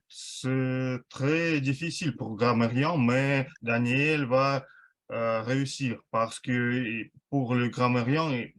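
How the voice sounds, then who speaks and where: A man giving an informal timing report to a group, in hesitant, broken phrases with pauses. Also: mid-range voice, a bit bright. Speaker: timekeeper giving a report at a speaking club.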